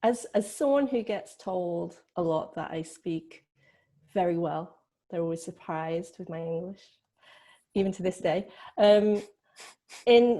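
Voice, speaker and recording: A woman guest speaks casually and reflectively about film work. Her voice is mellow and on the low side, with a strong gravelly creak running through it. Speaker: panel guest, filmmaker.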